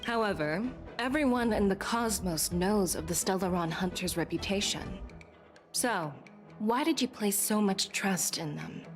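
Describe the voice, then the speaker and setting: A woman acts out a military general in a story-driven video game. She is poised and formal, questioning with polite, sharp authority. Music plays softly underneath. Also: mid-range voice, measured pace, natural voice. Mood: probing. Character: poised, probing female military general, courteous but sharp. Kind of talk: scripted video game dialogue. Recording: game audio with music bed. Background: background music.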